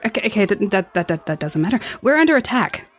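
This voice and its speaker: A woman's voice: mid-range voice, very animated, quick pace. A woman playing an anxious, exasperated guard in a tabletop game, flustered, stammering and repeating words. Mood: urgent, exasperated.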